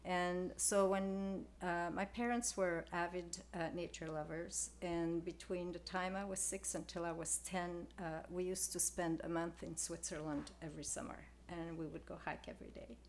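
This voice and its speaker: An older woman from Belgium, answering interview questions in a soft, hesitant way with many pauses and fillers. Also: fairly low pitch, fairly even intonation, a little hiss or hum. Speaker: guest floral designer. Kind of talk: casual interview.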